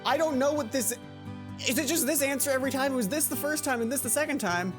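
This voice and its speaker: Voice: sits high, fast talker. A let's play host with a rambling, playful, self-interrupting way of talking, reading game text aloud and commenting on it. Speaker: gaming video host. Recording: game music under the voice. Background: video game music.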